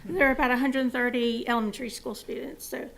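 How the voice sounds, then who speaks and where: A woman presenting to a school board, explaining with fillers, her voice going hoarse. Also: on the high side, fairly even intonation, quick pace, a bit bright, a little hiss or hum, poor audio. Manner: informal, with fillers and explanatory asides. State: losing her voice.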